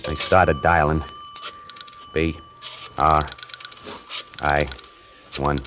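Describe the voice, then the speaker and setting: A man's voice: a bass voice, little pitch movement, very slow, a bit bright, a little hiss or hum, full character voice. An actor playing a streetwise, slangy, hard-up necktie salesman who narrates an old-time radio suspense play in a dramatic style. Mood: brooding, intrigued. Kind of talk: 1940s radio suspense acting, first-person narration. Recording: old radio broadcast with music behind.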